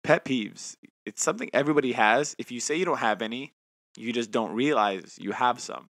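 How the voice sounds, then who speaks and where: A man's voice: medium pitch, fairly quick, vocal fry, a bit bright, no room sound, studio quality. A man in his twenties co-hosting a casual podcast, talking with a lisp from new teeth aligners, chatty and self-mocking. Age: 20s. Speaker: podcast co-host. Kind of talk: casual chat.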